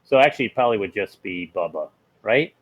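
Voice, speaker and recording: A man's voice: on the low side, animated, quick pace, husky, lo-fi sound. A man playing a tabletop role-playing game, chatting casually about rules and speaking for his character.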